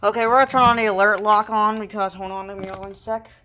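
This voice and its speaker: A woman giving a casual, rambling, halting show-and-tell of gear as a hobbyist presenter. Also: medium pitch, steady tone, sharp tone. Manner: halting, rambling, improvised delivery. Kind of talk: casual product showcase. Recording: noisy room with clicking handling sounds. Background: noise, clicks, radio broadcasts.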